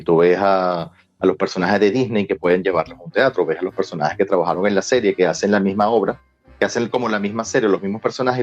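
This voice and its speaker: Casting: Venezuelan male interview guest, lowish pitch, quick conversational explaining, slightly muffled lo-fi radio recording. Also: darkish tone.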